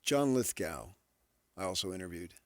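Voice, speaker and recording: A man's voice: low-pitched, expressive, moderate pace, dry recording. A man co-hosting a sports radio show, talking casually from a studio on a close mic. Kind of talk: casual sports talk banter.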